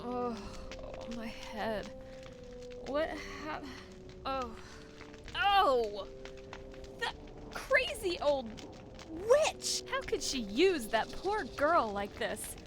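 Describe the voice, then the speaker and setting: An actress voicing a plucky, eager young daughter in a video game adventure, earnest and excitable. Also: female voice, high voice, very slow, long pauses, over music, exaggerated voice. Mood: groggy, indignant. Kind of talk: video game character acting.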